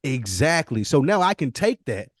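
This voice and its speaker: Guy on a hip hop podcast, mid pitched voice, slangy and emphatic, repeats stuff to make his point, super dry sound. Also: big pitch swings, moderate pace, no room sound. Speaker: podcast co-host. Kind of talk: casual hip-hop debate and storytelling.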